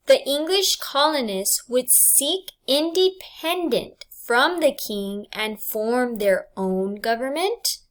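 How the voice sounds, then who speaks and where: A woman teacher reading test questions and answer choices aloud in a deliberate, enunciated way for students. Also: fairly high pitch, a bit bright. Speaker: English language arts teacher.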